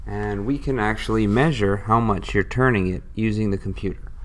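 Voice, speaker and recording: A man's voice: fairly low pitch, steady tone, moderate pace. A man teaching a step-by-step electronics tutorial, explaining patiently and thinking aloud as he works. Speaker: electronics instructor.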